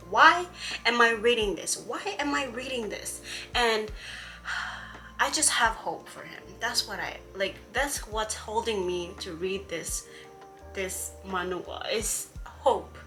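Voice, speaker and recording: A woman's voice: on the high side, pushed and bright. A woman vlogger from Hawaii chatting casually about a comic she is reading, exasperated and rambling, with many fillers and restarts.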